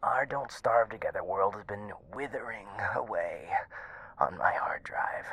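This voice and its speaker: A man acting a teasing young male gamer friend in a casual audio roleplay, halting and playful, with a breathy voice. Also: on the low side, a bit bright, full character voice, poor audio. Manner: halting, playful, pleading banter.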